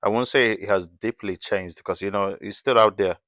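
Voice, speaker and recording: A man in conversation as an interview guest, speaking haltingly with repeated words and frequent restarts. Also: lowish voice, fairly bright tone, dry recording.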